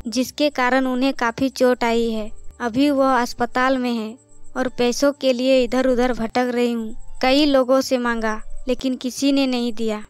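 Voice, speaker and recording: Very high, clear young girl played by a woman. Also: dry recording. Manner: earnest, polite. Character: polite, anxious young girl devoted to her mother.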